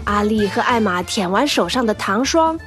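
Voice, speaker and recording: A woman narrating a children's audio drama in an expressive storytelling manner, with music behind her. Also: medium pitch, normal speed.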